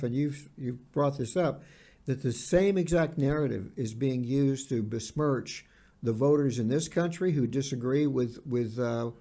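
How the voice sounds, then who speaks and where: A man co-hosting a podcast, talking in an earnest, halting way and repeating words for emphasis. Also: mid-range voice, darkish tone. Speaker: podcast co-host. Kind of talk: conversational podcast discussion.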